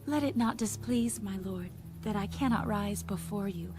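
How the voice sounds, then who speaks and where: An actress plays a daughter in a dramatized Bible story. Her manner is polite and deferential, at a middling female pitch. Music plays clearly behind her. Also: normal speed, natural voice. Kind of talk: dramatized audio Bible acting.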